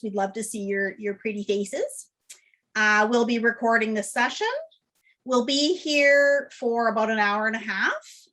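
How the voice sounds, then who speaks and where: A woman's voice: fairly high pitch, bright, forceful tone. A woman welcoming people to an online meeting, chatty and friendly, with many fillers as she runs through the call rules. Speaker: meeting host. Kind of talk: welcome and housekeeping on a video call. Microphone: video call.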